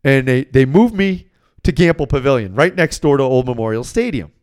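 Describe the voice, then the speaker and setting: An American man with a clean, clear voice at an average pitch. He talks as a podcast host and coach, casual and blunt, telling his stories in an animated, conversational way. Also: brisk. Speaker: sports betting podcast host and basketball coach. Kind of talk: casual solo podcast talk and storytelling.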